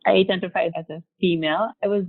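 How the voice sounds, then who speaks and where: A woman's voice: lowish voice, steady tone, fast talker, gravelly. A woman in her forties, raised in Mexico, talking candidly as a guest on a video call, with casual fillers. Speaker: guest, company vice president. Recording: tinny phone-like video call audio.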